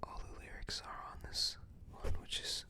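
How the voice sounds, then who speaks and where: A person whispering softly and breathily in an unhurried, halting ASMR show-and-tell style. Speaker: ASMR video creator.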